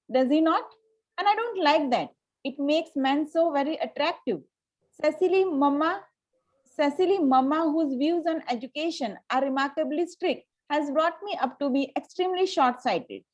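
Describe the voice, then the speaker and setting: A woman's voice: high voice, lightly acted, poor audio. A woman reading the part of a haughty, gushing young Victorian society lady in an amateur play reading, formal and self-assured. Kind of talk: amateur play table read.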